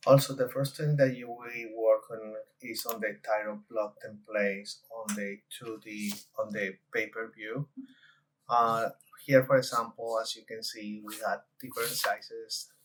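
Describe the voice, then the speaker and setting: A man in his forties from Colombia, a designer presenting a conference session and walking through software with frequent hesitations. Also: on the low side, flat delivery, unhurried, a little hiss or hum, low-quality recording.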